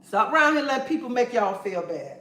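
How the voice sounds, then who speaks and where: A woman's voice: a little high, very animated, a little fast, pushed and bright. A woman lectures her livestream viewers in a sassy, preachy way, calling them honey and baby. Her voice carries a noticeable echo from the room she streams in. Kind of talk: casual livestream pep talk.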